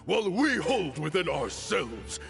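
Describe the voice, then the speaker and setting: An actor playing a proud, defiant desert warrior leader in a solemn, theatrical video game style. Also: male voice, on the high side, sing-song, measured pace, creaky, a bit bright, soft background music, full character voice. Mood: rousing, defiant. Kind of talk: dramatic video game voice acting.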